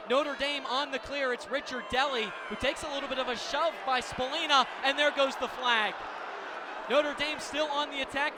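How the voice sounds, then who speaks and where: A man with a very high-pitched voice calls lacrosse live on the radio. He describes the action in short, vivid running phrases, with clear game noise around him. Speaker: play-by-play announcer. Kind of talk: live radio sports commentary. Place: sports venue broadcast position. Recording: loud arena noise behind commentary. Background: loud game ambience.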